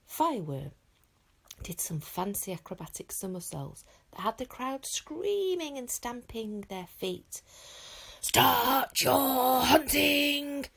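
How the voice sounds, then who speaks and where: A woman teacher reading a children's book aloud with expressive storytelling. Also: lowish voice, vocal fry, husky. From England.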